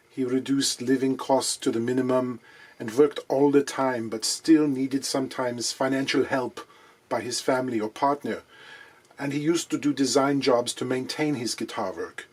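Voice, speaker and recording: A man putting on a Swiss accent to voice a mild Swiss man reading a memorial in a measured, solemn way. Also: medium pitch, breathy edge, close to an everyday voice. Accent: Swiss put-on accent.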